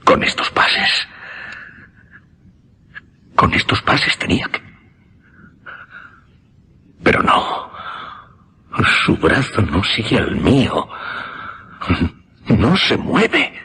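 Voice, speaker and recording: An actor playing an earnest, intense mesmerist, speaking in dramatic, halting, repeated phrases in a horror radio drama. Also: male voice, lowish voice, huge pitch swings, bright, forceful tone, breathy edge, full character voice. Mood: tense, intent. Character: earnest mesmerist man, intense, increasingly horrified.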